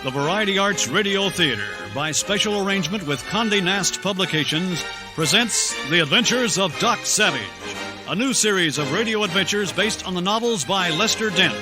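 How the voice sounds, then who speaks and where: A male announcer narrates a radio adventure serial over dramatic music. He is theatrical and declamatory, with a fairly high voice. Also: lively intonation, moderate pace.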